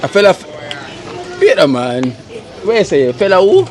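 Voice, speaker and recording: A man's voice: sits high, wildly swooping pitch, moderate pace. A man with a Jamaican accent hosting a casual street vlog, easygoing and laughing often. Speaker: vlogger host.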